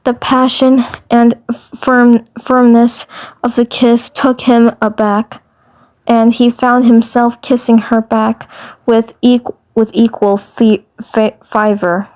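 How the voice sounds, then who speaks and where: A woman's voice: a little high, little pitch movement, takes it slowly, darkish tone. A woman reading amateur story narration for a fandub, stumbling and repeating words as she goes.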